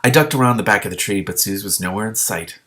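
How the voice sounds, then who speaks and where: Low-voiced man reading a story aloud. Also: fast talker, a bit bright. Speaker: host reading a novel aloud with commentary. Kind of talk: expressive storybook narration with casual asides.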